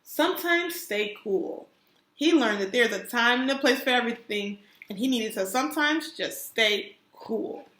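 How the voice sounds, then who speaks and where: A woman kindergarten teacher reading aloud to young children in an expressive, encouraging way, stopping to ask them questions. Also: on the high side, moderate pace, hardly any pauses. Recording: roomy, echoey sound.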